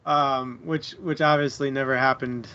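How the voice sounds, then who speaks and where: A man with a somewhat high voice chats about a book in a joking, self-mocking way and giggles often. The audio is muffled and lo-fi, with a faint hiss behind him. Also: a bit bright. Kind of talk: casual book discussion.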